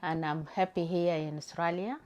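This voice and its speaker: Older grandma, low flat voice, hesitant. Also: female voice, normal speed. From South Sudan.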